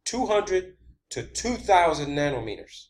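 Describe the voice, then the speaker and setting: A man's voice: a little high, big pitch swings, a little slow. A man giving an informal explanatory monologue, blunt and emphatic, repeating phrases for stress. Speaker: amateur presenter giving his own theories.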